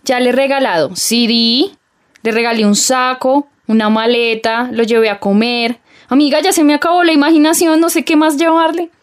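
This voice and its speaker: A woman's voice: high voice, fairly even intonation, normal speed, clear, resonant tone, lightly acted. A woman playing an eager, fretting young girlfriend with a Colombian accent in a radio soap. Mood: fretful.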